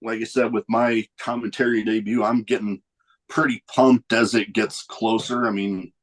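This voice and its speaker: A man hosting a podcast, chatting in a relaxed, rambling way and often losing his train of thought. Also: on the low side, normal speed. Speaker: podcast host. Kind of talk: casual chat.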